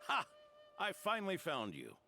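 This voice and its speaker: Kindly elderly game guardian, creaky, hugely animated, faint music. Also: male voice, sits high, moderate pace, exaggerated voice. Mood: kindly, admonishing. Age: older. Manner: gently admonishing. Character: kindly, protective old grandfather knight. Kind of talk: video game voice acting.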